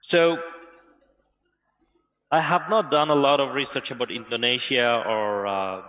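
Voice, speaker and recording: A man's voice: mid-range voice, unhurried, in a large hall, highs cut off. A man from Bangladesh, giving a remote conference presentation over a video call. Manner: steady, plain explaining with occasional "uh". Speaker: guest academic presenter.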